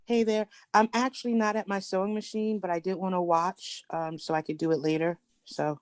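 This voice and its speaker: A woman's voice: mid-range voice, steady tone, a little fast, smooth, no echo, clean studio sound. A woman from New Jersey, a sewing student chatting casually on a group call. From New Jersey, United States. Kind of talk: casual chat.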